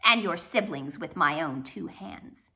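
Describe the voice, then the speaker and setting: A woman voicing a menacing villainous man who threatens in a hushed hiss, in a storybook reading. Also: low voice, lively intonation, voice pushed down, heavily acted. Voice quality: hissing, hushed. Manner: slow, threatening.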